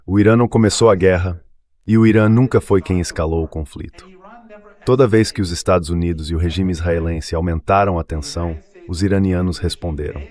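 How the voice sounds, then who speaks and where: A man's voice: low voice, a little mellow. A man giving political commentary as an interview guest in an AI-read translated dub, earnest and emphatic, building points through repeated lists.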